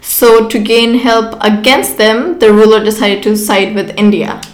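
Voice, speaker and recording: A woman's voice: on the high side, level tone, unhurried, roomy sound. An eighteen-year-old woman from Pakistan, a podcast guest explaining a topic in casual answers full of "like". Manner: frequent "like" fillers, explanatory.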